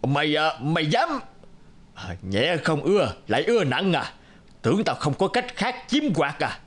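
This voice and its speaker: A man voicing a greedy, pompous middle-aged landlord in an animated folktale, wheedling and bullying with drawn-out hesitations. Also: on the high side. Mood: gloating, threatening.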